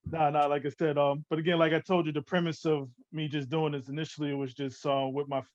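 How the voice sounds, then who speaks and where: An eager New Jersey man hosts a casual chat over a video call, rambling warmly through his questions with plenty of "like I said." His voice is a little high for a man. The sound is dull and close, with no room around it. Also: flat delivery, quick pace, dry recording. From New Jersey, born in Newark, raised in Orange. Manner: eager, repeats "like I said", laughs often. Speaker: interview host. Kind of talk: casual interview.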